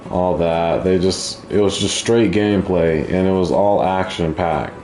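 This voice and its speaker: A man's voice: sits low, steady tone, measured pace, darkish tone. A man giving casual, slangy gameplay commentary as a streamer. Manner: informal, slangy. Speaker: gaming streamer. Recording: roomy echo, game music underneath. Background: video game music.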